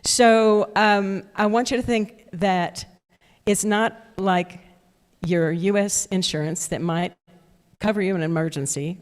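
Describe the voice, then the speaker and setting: An older woman speaking at a club meeting, telling her personal story casually and introducing a guest speaker. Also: lowish voice. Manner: chatty storyteller, laughs at her own story. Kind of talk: casual talk with personal anecdotes. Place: indoor meeting room. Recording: long reverberant room sound.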